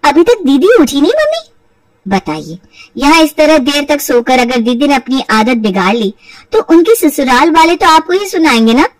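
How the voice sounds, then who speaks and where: A woman acts a young daughter-in-law in a cartoon family story. She has a high voice and a polite, sly, insinuating manner. The sound is dry and slightly off the microphone. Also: sing-song, normal speed, no room sound, recorded from a distance, rough recording. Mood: sweetly pointed. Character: shrewd young daughter-in-law, sweetly sly, weary of taunts. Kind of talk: acted Hindi moral story for cartoon.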